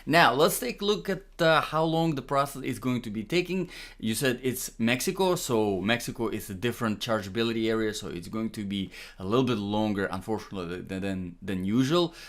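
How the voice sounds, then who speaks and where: Halting man explaining things to viewers. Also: fairly low pitch, a bit bright. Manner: halting, repeats words and phrases for emphasis. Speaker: host answering viewers' immigration questions. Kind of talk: unscripted explainer, answering comments.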